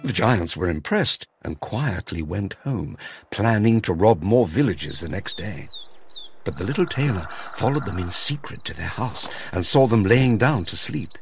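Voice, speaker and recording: A man narrating a children's fairy tale as a storyteller, in an expressive, theatrical way. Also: lowish voice, normal speed, creaky, background noise. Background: music.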